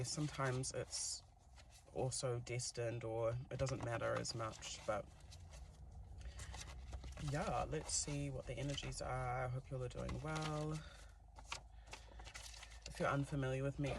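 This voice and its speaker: A man's voice: medium pitch, steady tone, measured pace, gravelly, pauses often, noisy background. A man with a New Zealand accent, giving a chatty, giggly tarot reading with plenty of fillers. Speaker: tarot reader. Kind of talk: casual tarot reading to camera.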